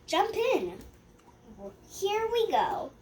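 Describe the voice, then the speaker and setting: High-pitched young girl reading slowly aloud. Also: big pitch swings, background noise. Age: child. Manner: halting read-aloud with stumbles and self-corrections. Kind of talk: reading a rhyming picture book aloud.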